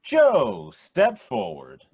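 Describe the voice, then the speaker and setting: A man's voice: mid-range voice, huge pitch swings, very slow, darkish tone, slightly rough, no echo, studio quality, exaggerated voice. A man playing a young man who acts as a showy, emphatic quiz show host in a radio play. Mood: showy, upbeat. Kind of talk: radio play acting, game show emceeing.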